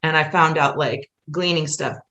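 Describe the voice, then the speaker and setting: A woman with a slightly low voice, recorded in a roomy, echoey space. She speaks as a guest in casual conversation, earnest and emphatic, rambling from one thought into the next. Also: steady tone, normal speed, bright, forceful tone. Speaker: podcast guest, musical playwright.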